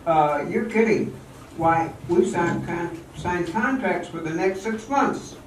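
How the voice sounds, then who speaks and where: A man's voice: high-pitched, takes it slowly, slight background noise. An amateur actor playing a bitter, stubborn, proud male dancer who has been jilted and is drinking, in a radio drama. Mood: hurt, disbelieving. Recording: very echoey hall, distant mic, music behind.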